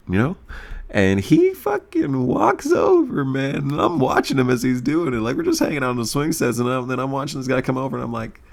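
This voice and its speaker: Male comedic storyteller, swooping animated delivery with gravelly edge, loose, sweary, stumbling repetitions. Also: mid-range voice. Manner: rambling, repeats himself, stammering for effect. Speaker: storyteller and video creator. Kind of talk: casual, profane comedic storytelling.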